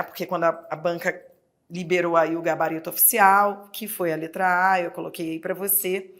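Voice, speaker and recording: A woman's voice: mid-range voice, fairly bright tone. A woman with a Brazilian Portuguese accent teaching a recorded exam-prep lesson, explaining step by step and checking in with the viewer. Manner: explanatory, addresses viewer directly, frequent "né?" checks.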